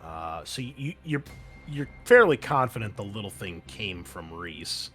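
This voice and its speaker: Low-voiced man running a tabletop game. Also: very animated, measured pace, a bit bright, faint music behind. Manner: casual, profane. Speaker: game master. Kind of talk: improvised narration of a tabletop roleplaying game.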